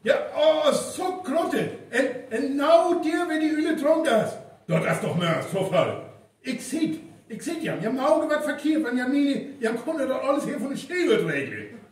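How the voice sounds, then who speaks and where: A man playing a flustered, halting villager in a live North Frisian stage radio play. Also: extremely high pitch, sing-song, full character voice. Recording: very echoey hall, far from mic.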